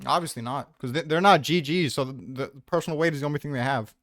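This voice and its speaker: A man's voice: on the high side, quick pace, fairly bright tone, no echo, clean studio sound. A man debating casually with a blunt, combative manner and plenty of swearing. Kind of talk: casual debate about a manga ranking.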